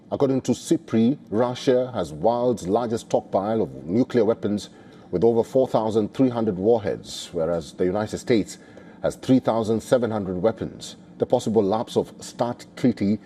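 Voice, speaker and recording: A man presenting scripted news narration in a formal, measured delivery. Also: on the low side, mellow, slightly rough.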